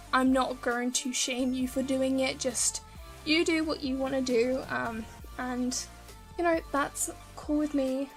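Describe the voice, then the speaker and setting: A woman's voice: sits high, fairly bright tone. A woman YouTuber chatting casually in a voice-over Q&A, rambling with lots of ums, her voice a little worn from talking. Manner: rambling, many ums and restarts. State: slightly worn voice from long talking.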